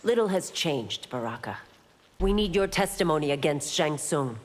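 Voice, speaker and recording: Low-voiced, stern law enforcer. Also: female voice, animated, moderate pace. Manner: composed, formal, firm. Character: stern, dutiful female law enforcer warrior. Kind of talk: video game character dialogue. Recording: reverberant, very noisy game audio.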